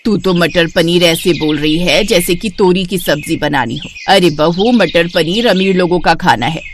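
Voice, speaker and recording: A woman's voice: on the low side, moderate pace, a little hiss or hum, voice pushed down, natural voice. A woman voicing a stern, practical older village mother, scolding and matter-of-fact, in a storybook tale. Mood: dismissive.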